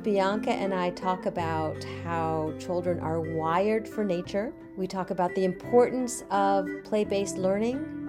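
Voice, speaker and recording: A woman hosting an outdoor education show, introducing a guest and interviewing her in a gushing, effusive way that repeats words. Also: medium pitch. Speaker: podcast host and interviewer. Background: music under the intro.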